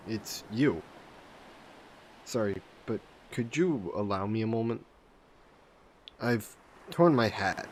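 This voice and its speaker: A man voicing a vain sea monster who poses as a mannered gentleman in a roleplay audio drama, speaking in suave, formal phrases. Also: on the low side, a little hiss or hum, lightly acted. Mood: guarded, polite. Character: flirty, vain sea monster posing as a gentleman traveler, turning menacing.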